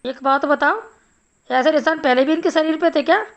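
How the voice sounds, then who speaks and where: A woman voicing a concerned male village doctor in an animated Hindi story, explaining things in a measured way. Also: high voice, lo-fi sound. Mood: reassuring. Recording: music behind the voice.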